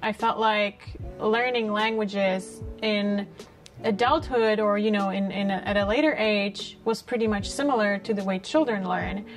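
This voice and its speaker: A woman's voice: mid-range voice, measured pace, soft background music. A woman in her late twenties with a Czech accent, a native Czech speaker, talking informally and reflectively as an interview guest. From Czech Republic, small town. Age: late 20s. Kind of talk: casual conversational interview. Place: small bright living-room style set, indoors.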